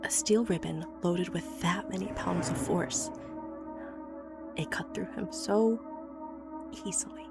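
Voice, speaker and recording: A woman's voice: lowish voice, slow pace, long pauses. Haunted young woman inventor, confiding over music. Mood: shaken, halting, then anguished, grieving. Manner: hesitant, breathy confiding storytelling with whispered phrases. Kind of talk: horror audio drama acting, confessional monologue. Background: background music.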